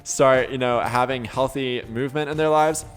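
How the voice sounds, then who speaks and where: With music underneath, a man talks conversationally as an interview guest. His voice sits at an average male pitch. He explains ideas in an earnest, affirming way, sprinkling in "you know" as he reflects. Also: fairly even intonation, moderate pace, clean tone. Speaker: podcast guest, life coach.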